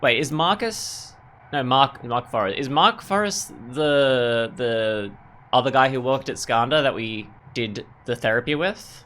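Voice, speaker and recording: A man's voice: mid-range voice, lively intonation, measured pace, fairly bright tone. A man giving casual let's play commentary as a gaming video host, musing and thinking aloud as he goes. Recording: clear background noise and ambience.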